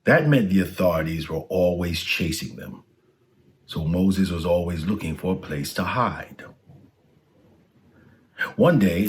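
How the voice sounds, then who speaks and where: A man's voice: deep voice, a little hiss or hum. A man reading a children's storybook aloud as a scripted narration.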